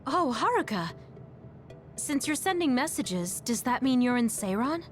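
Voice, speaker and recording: A woman's voice: high voice, sing-song, unhurried. Composed woman teammate over music. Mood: friendly. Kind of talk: English video game voice acting.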